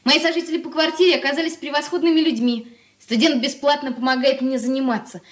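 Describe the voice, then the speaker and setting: Radio drama: eager young daughter, played by a woman, high-voiced, earnest and quick, echoey room. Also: fairly even intonation, lightly acted. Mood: earnest reading. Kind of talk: radio play acting. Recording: roomy, echoing radio studio recording.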